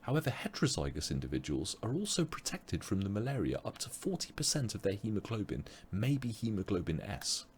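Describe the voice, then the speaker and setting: A man teaching an online biology revision webinar, explaining step by step with frequent pauses, his voice a little strained as he loses it. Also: lowish voice, wildly swooping pitch, slight background noise. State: losing his voice. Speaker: biology tutor.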